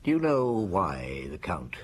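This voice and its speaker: An actor playing a thoughtful, courteous chief inspector in an audio drama, musing hesitantly. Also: male voice, low-pitched, huge pitch swings, measured pace, breathy edge, exaggerated voice. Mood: grave, reflective. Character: weary, thoughtful male police chief inspector, courteous and doubtful. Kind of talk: radio drama acting.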